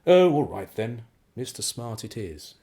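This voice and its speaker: An actor in a radio play playing a suave, menacing crime boss with a mockingly genteel, condescending and drawn-out delivery. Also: male voice, mid-range voice, big pitch swings, dark tone. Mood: dry, condescending. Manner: theatrical, condescending, chuckling politeness with drawn-out phrases.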